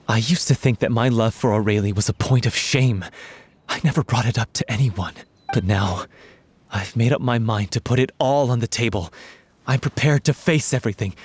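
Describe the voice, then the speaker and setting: A man's voice: medium pitch, lightly acted. A solemn, lovelorn man of the stage in a video game, voiced by an actor. He has a mid-range voice and a formal, earnest, resolute delivery, with slight noise behind him.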